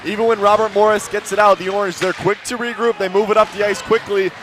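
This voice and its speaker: A male color commentator with a fairly high voice analyzes live ice hockey. Clear arena noise sits behind his voice. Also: level tone, fast talker.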